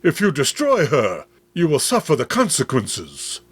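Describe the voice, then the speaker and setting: A man voicing a sinister seller of a magical statue, speaking in a deliberate, ominous way for a horror comic. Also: on the high side, wildly swooping pitch, fairly bright tone, slightly rough, full character voice. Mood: threatening.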